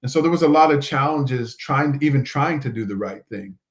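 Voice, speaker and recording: A man's voice: medium pitch, animated, brisk. A man in his forties from Nova Scotia, a coach speaking earnestly as a podcast guest. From Nova Scotia, Canada. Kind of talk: motivational interview talk.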